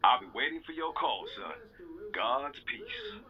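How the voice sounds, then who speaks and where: A man playing a concerned, devout father of a grown son in an audio drama, with a quiet, dull call sound. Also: medium pitch, huge pitch swings, a little slow, creaky, exaggerated voice.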